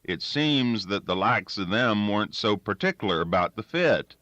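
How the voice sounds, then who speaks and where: A man's voice: lowish voice, expressive, normal speed, sharp tone, dry recording, muffled recording. A man voicing a crafty old backwoods storyteller in a folksy rural dialect. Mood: storytelling. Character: crafty old backwoods male yarn-spinner.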